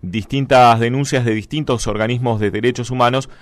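A man's voice: medium pitch, steady tone, fairly quick, no room sound. Man hosting radio news. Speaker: radio host. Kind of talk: radio news talk show banter. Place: radio studio.

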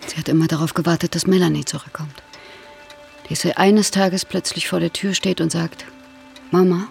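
A woman playing a troubled, self-reliant middle-aged actress in a radio drama, expressive and naturalistic with halting delivery. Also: on the low side, creaky, soft background music. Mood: subdued, determined. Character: troubled actress Ellie, haunted by family loss, stubbornly self-reliant. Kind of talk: German radio play acting.

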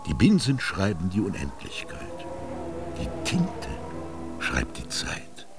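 A very deep, solemn old Egyptian scribe. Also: male voice, full character voice. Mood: ceremonial. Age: older. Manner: declamatory, solemn, with drawn-out words and whispered phrases. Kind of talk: German radio drama monologue. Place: studio.

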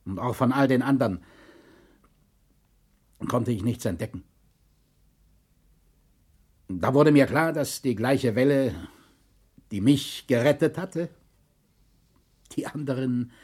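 A man playing a colonel and historian, a shaken shipwreck survivor giving measured, formal testimony in a documentary radio drama. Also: mid-range voice, animated, darkish tone, husky. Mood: shaken, composed.

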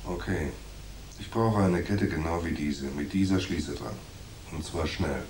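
Very deep-voiced hard-boiled detective. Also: male voice, a little slow, darkish tone, a little hiss or hum, poor audio. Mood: terse, demanding. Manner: terse, understated. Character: laconic hard-boiled male private detective narrating his case. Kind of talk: noir radio drama acting and first-person narration.

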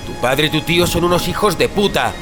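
A man's voice: a little high, lively intonation, a little fast, natural voice. A man with an accent from Spain playing a desperate, protective, doom-obsessed brother, urgent and pleading, in an audio drama. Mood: desperate, insistent. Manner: urgent, pleading, foul-mouthed. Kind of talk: Spanish audio fiction acting. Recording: dramatic music bed under the voice.

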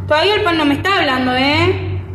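Young woman as high-pitched cheeky teenage son. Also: a little fast, natural voice. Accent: Argentine, Buenos Aires. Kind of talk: amateur school radio play. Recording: echoey room, long reverb, running water noise.

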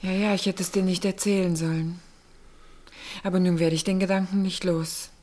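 Actress as anxious middle-aged wife, lowish voice. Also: female voice, level tone, normal speed, dark tone, natural voice. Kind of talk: German radio play acting.

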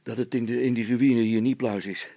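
Man playing storybook policeman, blustering, secretly scared, stammering, repetitive, creaky rasp. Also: mid-range voice, fairly even intonation, dark tone, no room sound, natural voice. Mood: shaken, sheepish. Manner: halting, stammering, repeats himself.

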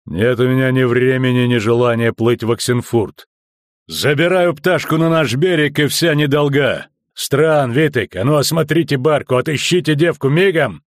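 A man voicing a gruff, impatient, threatening guard ruffian who barks commands in an audiobook story. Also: medium pitch, normal speed, no room sound, clean studio sound, lightly acted.

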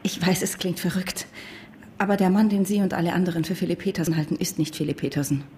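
An actress playing a distraught, insistent wife who also narrates in a German radio drama. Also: female voice, lowish voice, fast, warm tone, slight background noise, lightly acted. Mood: urgent, insistent. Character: distraught, insistent wife facing an impostor husband. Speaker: lead actress and first-person narrator.

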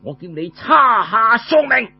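A man voicing a menacing enemy general who barks out a loud, threatening challenge. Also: extremely high pitch, sing-song, a little slow, creaky, husky, highs cut off, voice pushed up, full character voice. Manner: barking, threatening.

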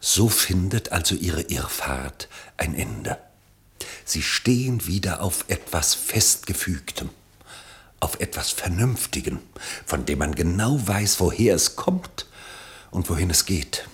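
A man narrating a radio play from a literary script, with measured, dramatic phrasing. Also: low-pitched, lively intonation.

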